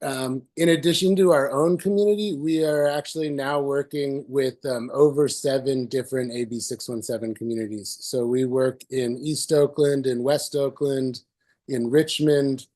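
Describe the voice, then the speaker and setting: A man with a hoarse voice from a cold, giving a presentation on a video call with many fillers and restarts. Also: medium pitch, fairly even intonation, moderate pace, darkish tone. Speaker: presenter at community meeting.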